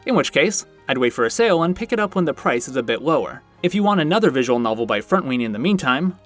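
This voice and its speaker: A man's voice: on the high side, very animated, quick pace, a bit bright. A man hosting a review channel, narrating a scripted video in a conversational way with wry asides. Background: background music.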